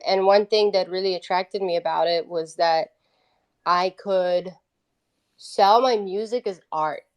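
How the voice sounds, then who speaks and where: Woman chatting casually. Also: medium pitch. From Italy. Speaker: guest, independent musician. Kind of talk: casual conversational storytelling.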